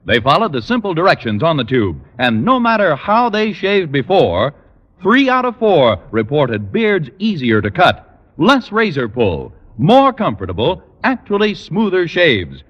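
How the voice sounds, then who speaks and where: Very animated, husky male commercial announcer. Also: fairly high pitch, a little slow, highs cut off. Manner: emphatic salesman's delivery. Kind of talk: 1940s-50s radio advertising read.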